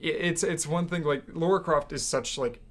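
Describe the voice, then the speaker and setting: A man's voice: a little high, moderate pace, a bit bright. A man chatting casually about movies as a podcast co-host. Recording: noisy amateur room recording.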